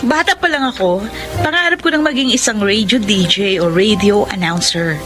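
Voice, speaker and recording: A woman's voice: mid-range voice, normal speed, close to an everyday voice. An actress playing a bubbly young woman who narrates her story and dreams of being a radio DJ. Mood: narrating. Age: young adult. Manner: bubbly, hyper, mixes English. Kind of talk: radio drama narration and acting. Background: music.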